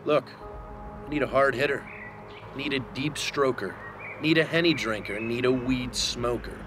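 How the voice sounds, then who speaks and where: A man in his thirties giving a deliberate, mock-dramatic reading of rap lyrics. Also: medium pitch. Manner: deliberate, theatrical delivery. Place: outdoors among trees. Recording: music under the voice. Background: music.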